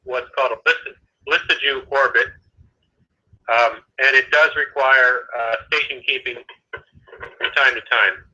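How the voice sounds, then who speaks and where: Man, satellite staffer on a call, halting explainer, very bright tone, tinny phone line. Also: medium pitch, steady tone, breathy edge. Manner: halting, many restarts, explanatory. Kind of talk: phone conversation.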